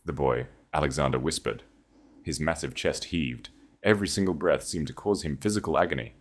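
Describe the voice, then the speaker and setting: A man's voice: very deep voice, fairly quick. A man narrating a romance audiobook in an intense, dramatic storytelling manner.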